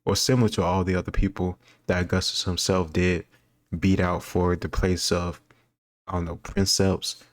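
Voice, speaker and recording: A deep-voiced man talking casually and thinking out loud as he goes. His delivery stays very even and he hedges his points as he rambles. The recording is close and dry. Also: moderate pace, no echo. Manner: rambling, musing, self-qualifying. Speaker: host reacting to quiz questions. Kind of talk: casual commentary on history trivia.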